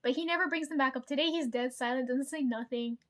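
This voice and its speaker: A woman's voice: sits high, fast talker. A woman vlogger telling a story to her viewers in casual chat, swearing freely and laughing often.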